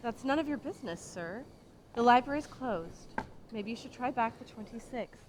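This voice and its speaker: A woman's voice: a little high, expressive, moderate pace, a little hiss or hum, natural voice. A young woman playing a prim, guarded librarian who speaks curtly, on a theater stage at a stand mic. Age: young adult. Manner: curt, clipped. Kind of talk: live radio play acting. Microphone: vintage stand mic on stage.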